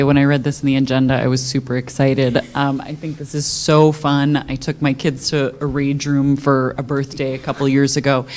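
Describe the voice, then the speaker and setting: Very low-voiced woman council member. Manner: upbeat, gushing. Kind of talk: comments at a council meeting. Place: council chamber.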